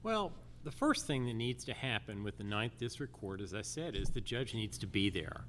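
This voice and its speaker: A man of medium pitch argues his case as a judicial candidate at a live forum. His delivery is animated and emphatic, hammering points in lists, over faint background noise. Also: moderate pace. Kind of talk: campaign forum answers, public speaking.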